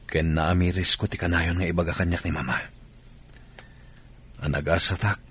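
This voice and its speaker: An actor playing a remorseful, jealous husband with a husky voice, pleading earnestly in an Ilocano radio drama. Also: male voice, sits low, pushed and bright, full character voice.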